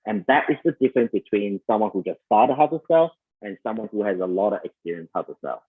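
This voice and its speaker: Malaysian-accented man, sales coach, chatty summit talk, husky voice, phone-thin audio. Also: lowish voice, very animated, fast, phone-quality sound. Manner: conversational, many fillers, addresses listeners as "you guys".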